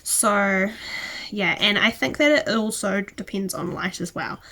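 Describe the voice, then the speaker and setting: A young woman with a New Zealand accent chatting to camera, a little croaky from a cold, enthusiastic and dipping into soft near-whispers. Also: mid-range voice, unhurried, poor audio. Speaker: plant vlogger. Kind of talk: casual chat to camera. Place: indoor room with plant shelves. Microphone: camera close in front.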